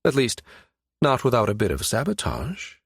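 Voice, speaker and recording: A man's voice: medium pitch, wildly swooping pitch, creaky, breathy edge, no echo, clean studio sound, exaggerated voice. Man playing a smug, scheming villain. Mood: mocking. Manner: mocking, measured, menacing. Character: cold, scheming male villain, smug and calculating.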